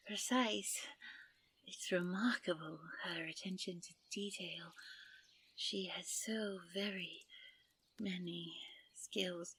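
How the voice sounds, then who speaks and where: A woman playing a gentle, refined and easily flustered fairy princess in a fantasy audio roleplay, polite and soft-spoken. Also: medium pitch, a little hiss or hum, rough recording. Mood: wistful, giddy. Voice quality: breathy, soft. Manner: genteel, polite, stammering when nervous.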